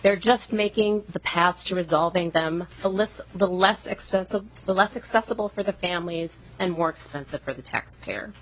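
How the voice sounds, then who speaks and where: A woman giving prepared public testimony at a hearing, making her argument in a formal, measured manner. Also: fairly low pitch, poor audio.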